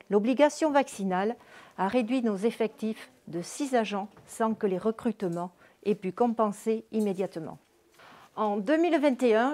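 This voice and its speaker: Woman official, mellow measured formal address. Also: mid-range voice. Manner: measured, formal read-out delivery. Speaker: local social service official giving New Year's wishes.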